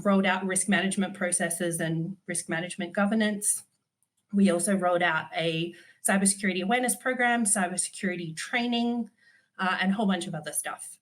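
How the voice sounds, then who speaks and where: A woman's voice: fairly low pitch, a bit bright. Stuffy woman, dry humour. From Australia. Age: 30s. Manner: candid, dryly humorous, frequent ums. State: stuffy from a cold. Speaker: conference speaker. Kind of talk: virtual conference talk.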